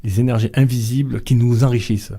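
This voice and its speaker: A middle-aged man guest on a radio interview, talking slowly and hesitantly with many fillers and restarts. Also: medium pitch, fairly even intonation, mellow, slightly rough. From Vietnamese family background. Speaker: author and guest.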